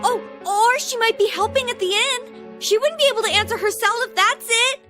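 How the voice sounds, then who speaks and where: An American woman voicing a feisty, excitable teenage schoolgirl in a video game, speaking in an emphatic, informal way. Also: extremely high pitch, normal speed. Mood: alarmed. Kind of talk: English video game voice acting. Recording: background music and crowd chatter behind.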